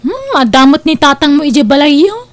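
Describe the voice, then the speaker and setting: Spoiled young Ilocano daughter, a woman acting, high-pitched. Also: very animated, normal speed. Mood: bossy, whining. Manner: demanding, whiny, scolding. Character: spoiled, lazy, bossy twenty-five-year-old daughter. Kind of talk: amateur radio-style Ilocano audio drama.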